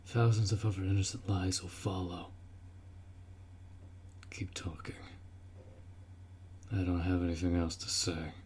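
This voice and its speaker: A man playing a gruff, dutiful orc warrior in a fantasy roleplay audio drama, blunt and protective as he speaks to the listener. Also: deep voice, warm tone. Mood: urgent, commanding.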